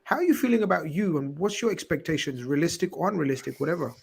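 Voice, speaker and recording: A man's voice: a little high, lively intonation, quick pace, gravelly, husky. A man hosting a call-in show, chatting with callers in a friendly, encouraging way. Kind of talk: casual call-in chat.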